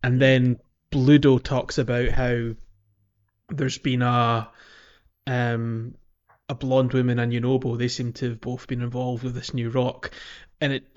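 Casting: male podcast co-host for casual game chat, rambling and understated, mid-range pitch. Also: level tone, a little slow, a little mellow, highs cut off. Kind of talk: casual video game discussion.

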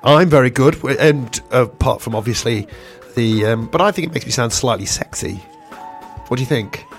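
Hoarse radio host, jokey, self-mocking, faint music behind. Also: male voice, mid-range voice, normal speed. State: hoarse, lost voice. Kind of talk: casual radio chat.

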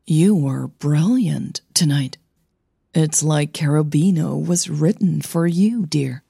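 A woman voicing a composed, caring singing coach in an audio drama, reassuring and gently teasing as she probes. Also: low voice, takes it slowly, vocal fry, breathy edge, no room sound, studio quality, full character voice. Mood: caring, concerned.

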